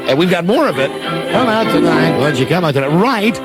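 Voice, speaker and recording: A man hosts a radio comedy show. His pitch is very varied and he chats and jokes loosely. Music runs clearly underneath. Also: sing-song, quick pace. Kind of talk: joking, improvised radio chat.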